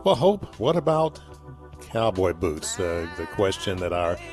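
Low-voiced guy hosting a radio show, relaxed, soft music under him, dry studio. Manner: easygoing, halting with fillers.

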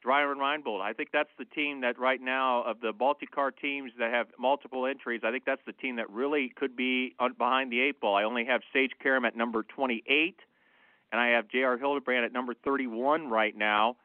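A man giving measured, analytical, opinionated sports commentary in a conversational way, as a motorsport analyst on a radio show. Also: mid-range voice, fairly even intonation, dry recording. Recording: phone-like, narrow-band dry line.

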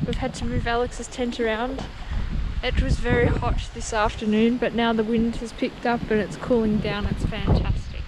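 A woman's voice: a little high, little pitch movement, normal speed. Young woman vlogger, playful and chatty, filmed on an action camera at a campsite with heavy wind noise. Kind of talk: casual camping vlog narration. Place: outdoor rural campsite. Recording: loud wind and outdoor noise.

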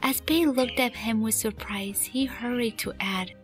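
A woman's voice: fairly high pitch, unhurried. A woman reading scripted story narration as the narrator of a fan radio play. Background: music.

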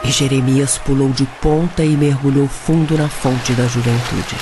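A man's voice: mid-range voice, steady tone, moderate pace. A Brazilian man narrating a scripted radio drama in a dramatic storytelling manner. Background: music.

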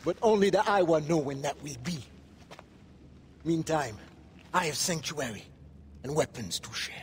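A performer playing a resolute maroon rebel leader, earnest and given to proverbs in a game cutscene. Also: female voice, deep voice, expressive, moderate pace, in a large hall, slight background noise. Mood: earnest, guarded. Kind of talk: video game cutscene acting.

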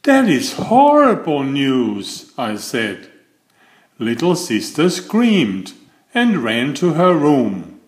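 An older man reading aloud as a children's storyteller, with slow, deliberate, expressive phrasing. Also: medium pitch, echoey room. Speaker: children's book reader and host.

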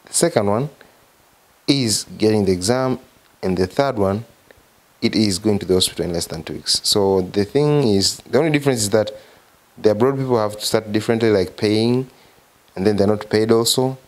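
Young Tanzanian man, Swahili-speaking, low-pitched, informal vlogger who rephrases himself; living room, handheld mic. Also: moderate pace. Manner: informal, repeats and corrects himself. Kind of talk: casual explanatory vlog. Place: living room, seated on a sofa. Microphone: handheld microphone.

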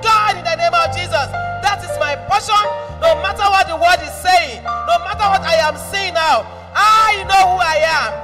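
A middle-aged woman leading a congregation in fervent, shouted prayer declarations, repeating phrases with rhythmic emphasis into a handheld microphone. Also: very high-pitched, moderate pace, hardly any pauses. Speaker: prayer leader. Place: large church hall, indoors. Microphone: handheld mic. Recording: reverberant hall with music.

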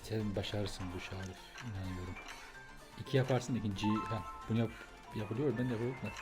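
Fast-talking man gaming over music. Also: lowish voice, steady tone. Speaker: gaming co-player. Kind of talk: casual banter while gaming. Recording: clear music behind the voice. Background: background music.

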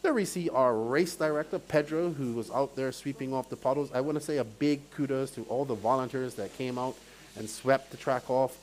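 A man's voice: medium pitch, moderate pace, poor audio. A man from Bermuda with a Bermudian accent, working as a race commentator and chatting casually with live-stream viewers. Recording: clear background noise, outdoor event ambience.

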